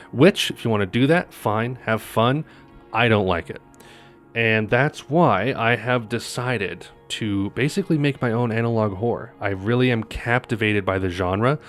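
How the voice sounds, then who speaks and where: A man with a fairly low voice speaks as an online creator in a casual, opinionated vlog style. He addresses viewers directly, pausing to find words and then pressing his point. Faint music plays behind his voice. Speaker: YouTube creator and storyteller.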